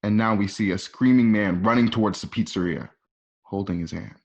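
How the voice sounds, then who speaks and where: Lowish-voiced man narrating a script. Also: brisk. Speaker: narrator reading stage directions. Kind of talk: present-tense screenplay narration. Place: at home. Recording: slightly dull home recording.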